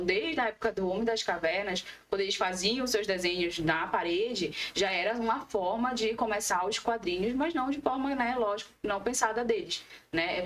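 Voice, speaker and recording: A woman from northern Brazil talks as an interview guest. Her medium-pitched voice sounds a little away from the microphone. She explains conversationally, qualifying her points as she goes. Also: fairly bright tone. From Amapá, Brazil. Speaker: guest, comics artist and illustrator. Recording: distant from the microphone.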